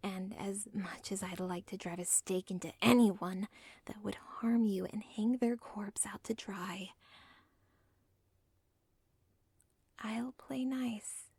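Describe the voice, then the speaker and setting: Breathy whispering villain's daughter, acted by a woman. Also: mid-range voice, steady tone, a little mellow, no room sound. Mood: tender confession. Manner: halting, deliberate, intimate. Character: villain's lovestruck, self-aware yandere daughter, nervous but devoted. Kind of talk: whispered ASMR audio roleplay.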